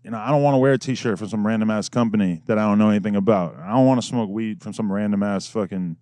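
A man talking casually and rapidly in a studio interview, rambling and profane, often laughing. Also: fairly low pitch, warm tone, no room sound. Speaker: podcast host being interviewed.

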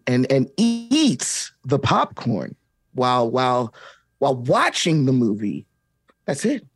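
A man's voice: on the high side, breathy edge, no echo, full character voice. An actor playing an upbeat young male kids' TV host in a comedic table read, singsong and talking straight to the viewer.

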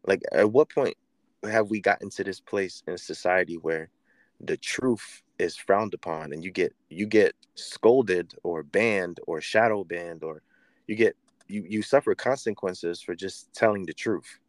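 A man co-hosting a podcast who argues his points earnestly, often pausing and restarting his sentences. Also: low voice, no echo. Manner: earnest, argumentative, hesitant restarts. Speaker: podcast co-host. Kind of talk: casual podcast chat.

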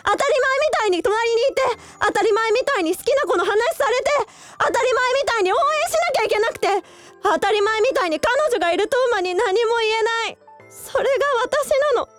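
A woman's voice: very high voice, a little fast, faint music behind. An actress in a radio drama playing an earnest young woman who hides her love for a childhood friend. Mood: hurt, pent-up. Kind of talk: Japanese radio drama acting.

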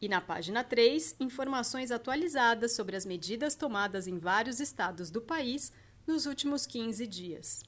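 A woman podcast host and journalist reading a news roundup and interviewing a guest in a steady, clear presenter delivery. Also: a little high, moderate pace, hardly any pauses.

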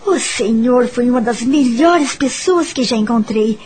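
An actress with a Brazilian accent playing a gentle, grateful young woman in a radio soap opera, earnest and sentimental. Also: fairly high pitch, expressive, unhurried, slightly rough, exaggerated voice. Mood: grateful, wistful. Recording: background music under the voice.

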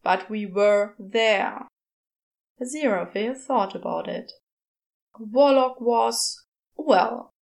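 Slow, high-voiced woman narrating fiction. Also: creaky, darkish tone, rough recording. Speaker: podfic narrator. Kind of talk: scripted story narration.

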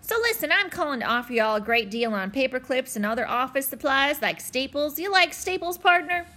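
A woman's voice: sits high, lively intonation, brisk, bright, forceful tone. A woman voicing a folksy male salesman from Texas with a Texan accent, reading a storybook aloud. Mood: chummy. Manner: folksy, chummy. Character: cheery drawling Texan salesman, man.

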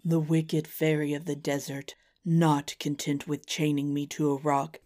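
A woman voicing a lovelorn, noble storybook king who pleads earnestly in a drawn-out, melodramatic way. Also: low voice, little pitch movement, darkish tone. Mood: earnest, devoted. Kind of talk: storybook character voice.

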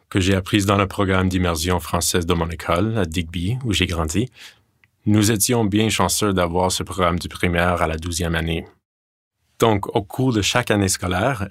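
A man's voice: deep voice, a bit bright, no echo, studio quality. A man from Nova Scotia, a native English speaker, giving a formal prepared testimony. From Nova Scotia, Canada. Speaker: interviewee.

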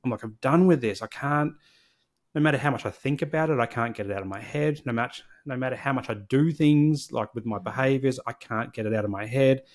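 A man's voice: medium pitch, fairly quick. A man, a podcast guest candidly and reflectively telling his personal story. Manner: candid, reflective, with frequent restarts.

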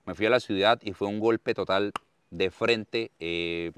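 A man in his thirties with a Colombian accent from Santander, talking as the interviewee about his life in earnest, expressive stories and often repeating words for emphasis. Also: lowish voice, measured pace, clean tone, dry recording. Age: 30s. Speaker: interviewee, farmer and content creator. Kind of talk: conversational storytelling interview.

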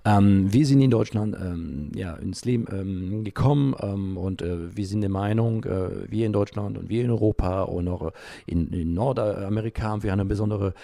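A man giving unscripted interview answers, haltingly, often pausing and searching for his words. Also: fairly low pitch, creaky, dark tone, dry recording. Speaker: interview guest, environmental group founder.

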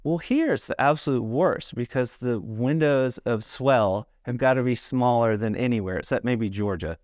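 A man's voice: mid-range voice, normal speed, darkish tone, dry recording, clean studio sound. A middle-aged man talking casually, rambling with lots of "like" and "you know.". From South Carolina, born in Hawaii. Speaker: podcast guest, surfboard shaper. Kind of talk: casual conversation.

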